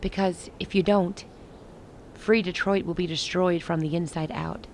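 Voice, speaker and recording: An actress playing a grave, resolute woman who leads a besieged rebel city in a radio play, speaking in measured, formal lines. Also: on the low side, fairly even intonation, darkish tone, a little hiss or hum. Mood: solemn, insistent. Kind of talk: dramatic radio play dialogue.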